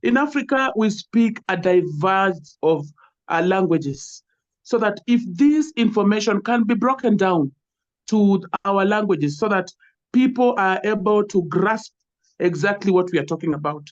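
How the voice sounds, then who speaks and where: A Kenyan woman speaks over a video call as a panelist, walking through her points one by one in an earnest, explaining way. Her voice sits at a middle pitch. The audio is dull and lo-fi. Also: lively intonation, a little slow. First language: Luo. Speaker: webinar panelist. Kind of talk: online panel talk.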